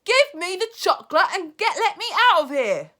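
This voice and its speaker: A woman voicing a rude, greedy young boy from a storybook, shouting loud demands. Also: very high-pitched, huge pitch swings, normal speed, pitched above the performer's own voice, heavily acted. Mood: demanding.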